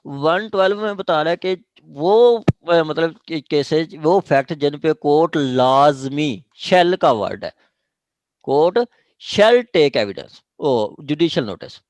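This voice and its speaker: A man's voice: a little high, animated, slow pace, a little mellow, no room sound. A man with a Pakistani accent lecturing on statute law in a didactic way, checking in with listeners as he explains. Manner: didactic, punctuates points with check-in questions.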